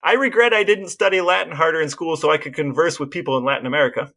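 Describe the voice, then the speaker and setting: A man's voice: on the high side, racing, vocal fry, fairly bright tone. A man co-hosting a podcast in casual chat, reading out quotes and bits of trivia. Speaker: podcast co-host.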